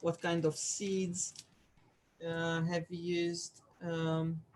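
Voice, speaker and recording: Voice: medium pitch, level tone, drawn-out words. An art class instructor from Romania, talking viewers through a craft project in a casual, hesitant way with lots of fillers. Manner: hesitant, many fillers, chatty asides to viewers.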